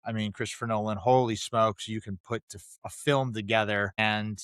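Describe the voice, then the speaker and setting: A man's voice: fairly low pitch, fairly even intonation, normal speed, a bit bright, clear, resonant tone, dry recording, clean studio sound. A man co-hosting a casual film discussion, talking conversationally and restating his points with emphasis. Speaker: podcast co-host.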